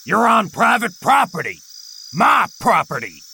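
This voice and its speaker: Cantankerous old male property owner acted by a man: heavy rasping creak, slow-paced, stern, audio drama, slight background noise. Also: high voice, very animated, heavily acted, low-quality recording. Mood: angry. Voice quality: gravelly. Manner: stern, scolding, forceful. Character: gruff, angry old male landowner guarding his property.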